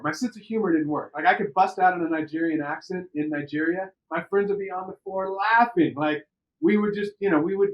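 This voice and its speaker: A middle-aged man from the United States telling personal stories on a podcast in an animated, conversational way, repeating words for emphasis. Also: on the high side, dull audio. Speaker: podcast interview participant. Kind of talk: personal storytelling.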